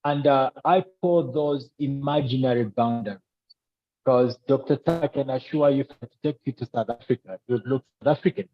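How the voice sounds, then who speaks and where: A man with a Zambian accent speaking conversationally as an interview guest, with hesitant, halting phrasing. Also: medium pitch, muffled recording. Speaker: podcast guest.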